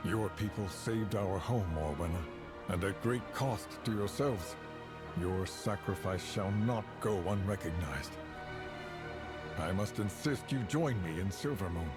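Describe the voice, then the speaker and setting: A man's voice: deep voice, unhurried, loud music behind the voice. A man voicing a formal, dignified elven leader in a video game, with a lofty, commanding delivery. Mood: stately gratitude.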